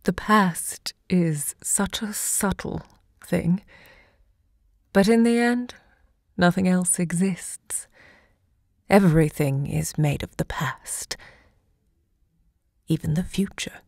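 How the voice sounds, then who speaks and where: An actress playing an elderly American woman writer and literary hostess in an audio drama, speaking in a gracious, deliberate, theatrical way. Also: fairly low pitch, creaky, a little mellow, dry recording, studio quality, heavily acted. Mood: reflective, theatrical. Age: older.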